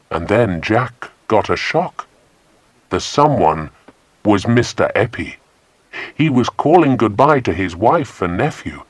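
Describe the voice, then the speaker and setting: Deep, expressive male storyteller. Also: dry recording. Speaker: narrator. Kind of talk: dramatised children's audiobook narration. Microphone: close, dry studio microphone.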